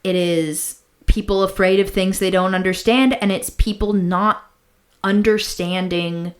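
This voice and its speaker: A woman's voice: medium pitch, fairly even intonation, measured pace. A woman co-hosting a podcast, chatting and telling stories in an informal, slangy way with emphatic repetition. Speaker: podcast co-host. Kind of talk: casual chat, storytelling.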